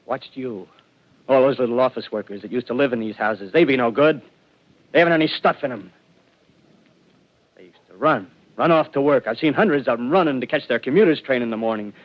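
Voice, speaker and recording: A man's voice: medium pitch, close to an everyday voice. Guy playing a rambling wild-eyed militia survivor, old radio play, dull lo-fi sound, midrange voice. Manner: rambling, feverish, swings between hushed and loud. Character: desperate male ex-militiaman scheming to survive the invaders. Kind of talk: 1930s radio drama acting. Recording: dull lo-fi vintage radio broadcast.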